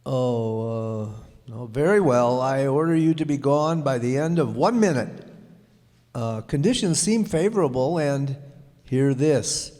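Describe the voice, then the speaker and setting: A man's voice: medium pitch, a little slow, a little mellow, natural voice. A man playing a lonely, self-important but kindly king in a radio play, regal and given to hemming and hawing. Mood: pompous. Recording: echoey hall, long reverb.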